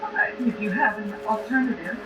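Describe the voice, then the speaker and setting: A woman's voice: mid-range voice, moderate pace. A woman playing a tabletop game, chatting casually in character in a questioning, hesitant way. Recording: roomy, distant mic, loud background noise.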